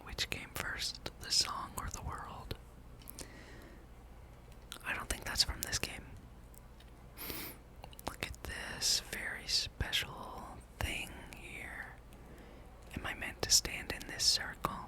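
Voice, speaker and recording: A person speaking in a breathy, unvoiced whisper, giving rambling, playful ASMR commentary while playing a video game. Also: takes it slowly, pauses often. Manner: hushed, playful musing, reads game dialogue aloud. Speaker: gameplay streamer.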